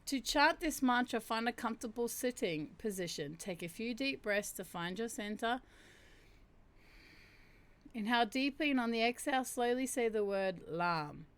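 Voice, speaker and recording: Deep-voiced woman hosting a livestream. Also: lively intonation. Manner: chatty, laughs and reacts to chat; slows down to chant drawn-out syllables. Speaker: livestream host. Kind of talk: casual chat mixed with reading aloud. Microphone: streaming mic.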